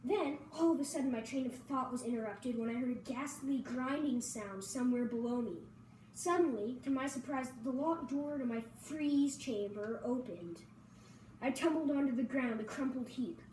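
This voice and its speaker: A woman's voice: high voice, moderate pace, darkish tone. A woman reading a scripted first-person story aloud as narrator. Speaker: reader at a table read. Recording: roomy echo, distant mic, faint noise.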